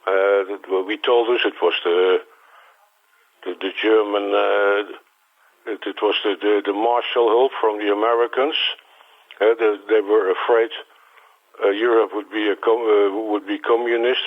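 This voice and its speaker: Halting older Dutch man on phone. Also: lowish voice. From Netherlands. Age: 60s. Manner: halting, repeats words, restarts often. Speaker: interview guest. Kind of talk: phone interview. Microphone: telephone. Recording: tinny phone line.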